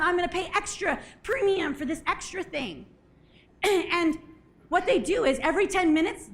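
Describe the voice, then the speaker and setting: Very high-voiced woman giving a keynote. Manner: animated, jokey, asks the audience questions. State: a bit hoarse, clears throat. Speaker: conference speaker. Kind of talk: motivational keynote talk. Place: stage at a conference.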